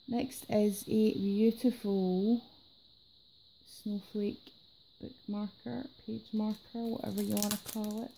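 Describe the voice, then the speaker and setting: A woman's voice: medium pitch, level tone, slow, creaky, long pauses, dark tone, a little hiss or hum, low-quality recording. A woman who is sick, chatting casually and enthusiastically as she unboxes craft items at her table, her voice affected by the illness. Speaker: planner unboxing vlogger. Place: indoors at a table. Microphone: camera filming her hands from above.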